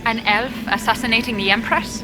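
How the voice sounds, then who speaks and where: An actress playing a guarded, proud elven ambassador and spymaster in a video game, composed and pointed. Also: female voice, fairly high pitch, steady tone, brisk, music in the background, natural voice, rough recording. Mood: calculating, firm. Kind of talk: video game character dialogue.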